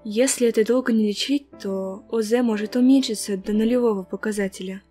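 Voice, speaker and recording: A woman playing a calm, caring monster doctor in a comic dub, explaining in a measured, clinical way. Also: medium pitch, soft background music, close to an everyday voice. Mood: serious, explanatory.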